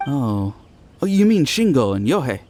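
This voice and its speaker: A man's voice: medium pitch, moderate pace, slight background noise, close to an everyday voice. A man playing a chatty young village gossip in an anime-style game, telling things eagerly. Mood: friendly. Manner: eager, animated storytelling.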